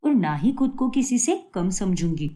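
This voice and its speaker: A woman's voice: medium pitch, big pitch swings, normal speed, warm tone, natural voice. Woman acting a jealous daughter-in-law, melodramatic lilt. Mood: remorseful. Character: jealous, scheming daughter-in-law, later repentant. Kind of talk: acted Hindi moral-story cartoon.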